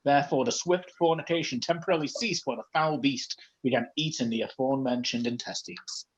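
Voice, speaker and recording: A man's voice: medium pitch, a little fast, muffled recording. A man reading fan fiction aloud as comedy, breaking off for joking asides. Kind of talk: comedic read-aloud of a story.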